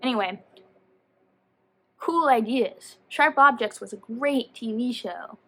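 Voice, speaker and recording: High-voiced woman rambling animatedly about books. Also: slow. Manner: animated, rambling, slangy, self-interrupting. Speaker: book vlogger. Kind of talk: casual, chatty book review monologue to camera.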